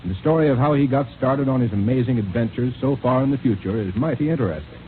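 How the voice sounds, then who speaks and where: A man's voice: medium pitch, expressive, fast talker. Tinny 1930s American male radio announcer. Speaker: announcer and narrator. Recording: tinny 1930s radio recording, faint noise.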